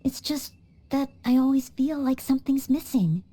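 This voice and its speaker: An actress voicing an earnest, polite young maid training to be a knight in a game cutscene, with small hesitant stammers. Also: female voice, sits high, expressive, moderate pace, mellow. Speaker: game character.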